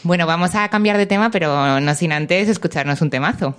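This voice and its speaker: A woman's voice: lowish voice, brisk, smooth. A woman hosting a radio show in a conversational, informal way.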